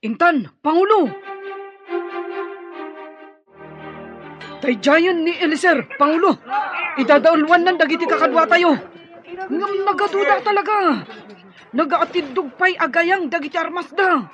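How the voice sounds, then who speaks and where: A woman's voice: very high voice, normal speed, over music. A woman playing a loyal young tribeswoman warrior in an Ilocano-accented radio drama, speaking earnestly and dramatically. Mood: urgent. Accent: Filipino, Ilocano.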